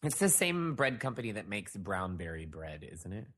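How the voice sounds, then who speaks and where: A man's voice: sits low, sing-song, normal speed, fairly bright tone. A man co-hosting a casual chat show, an easygoing stage performer who laughs easily. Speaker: podcast co-host and stage performer.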